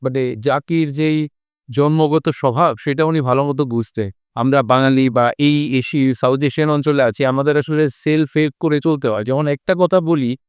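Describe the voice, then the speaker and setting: A man's voice: medium pitch, fairly even intonation, moderate pace, clear, resonant tone, dry recording, studio quality. A man with a Bangladeshi accent giving his views as a panelist in a colloquial, conversational way, circling back to rephrase. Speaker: discussion panelist. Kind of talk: conversational discussion.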